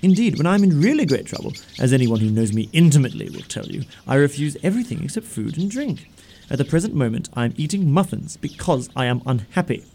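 An actor playing a greedy, flippant young English gentleman in a comic radio play, with a glib, pompous, mannered delivery. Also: fairly high pitch, sing-song, quick pace, slight background noise. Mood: smug, unruffled.

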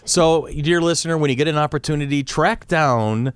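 A man in his forties hosting a casual group podcast, playful and quick to laugh as he goes round the table. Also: a little high, clear, resonant tone, dry recording. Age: 40s. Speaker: podcast host.

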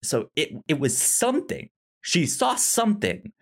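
A man talking casually about a film as a podcast co-host, halting and often stammering on repeated syllables. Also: high voice, huge pitch swings, slightly rough.

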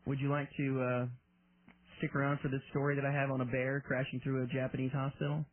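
A man hosting a comic talk radio show and playing the dry, deadpan straight man in the banter. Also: mid-range voice, quick pace. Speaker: radio host. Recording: phone-like lo-fi radio broadcast.

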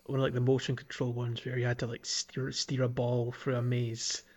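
A man's voice: medium pitch, monotone, normal speed, darkish tone. A man co-hosting a podcast, chatting casually and with enthusiasm about a video game. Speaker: podcast co-host.

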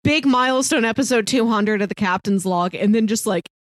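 A woman's voice: on the high side, a little fast, no room sound. Casual woman co-host, close dry sound. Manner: informal, conversational. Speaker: podcast co-host. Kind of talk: casual chat.

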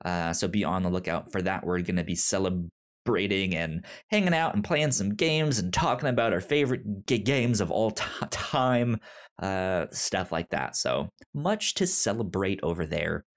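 A man's voice: low voice, expressive, moderate pace. Casual male podcast host, slightly stammering. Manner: frequent stammered sound repetitions.

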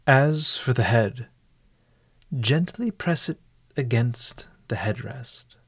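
A man narrating a scripted guided meditation in a formal, measured and eloquent manner. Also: medium pitch, expressive, fairly bright tone, slightly rough, dry recording.

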